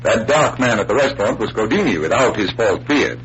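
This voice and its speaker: An actor playing a confident, teasing magician detective in a 1940s radio mystery, with theatrical, precise delivery. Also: male voice, fairly low pitch, expressive, fairly quick, a bit bright. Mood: showmanlike, assured. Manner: theatrical, precise, playful taunting. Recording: muffled hissy vintage radio recording.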